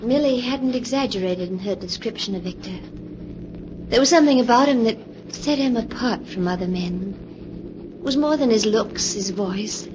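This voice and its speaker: An actress playing an anxious, gentle sister who narrates a 1940s radio suspense tale with earnest, dramatic pauses. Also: female voice, on the high side, animated, close to an everyday voice. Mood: grave, wistful. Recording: dull, noisy 1940s radio recording.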